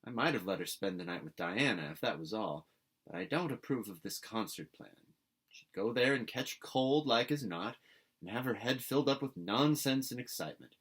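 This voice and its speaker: A man voicing a stern older woman guardian in a storybook, brisk, tart and scolding. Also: lowish voice, lively intonation, fairly bright tone, husky. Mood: irritated.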